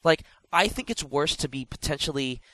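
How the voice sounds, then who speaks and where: A man with a stuffed nose, co-hosting a casual gaming podcast and talking in an animated, rambling way with many restarts. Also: a little high, moderate pace, no room sound. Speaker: podcast co-host.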